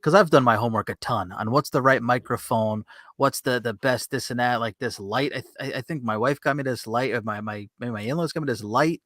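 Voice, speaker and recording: A man's voice: medium pitch, brisk, a bit bright, no echo. Chatty man podcasting, dry close sound. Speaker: podcast host. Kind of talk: casual chat, bantering. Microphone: close USB desk microphone on an arm with pop filter.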